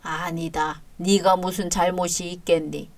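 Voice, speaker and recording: A woman voicing a calm, kindly older father-in-law who speaks low and gently to comfort. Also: a little slow, no room sound. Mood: gentle.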